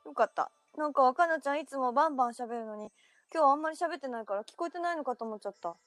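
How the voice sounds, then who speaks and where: A woman's voice: sits high, racing, no echo, soft background music, lightly acted. A woman performing in an amateur radio drama as a curious young woman reacting eagerly to her friends.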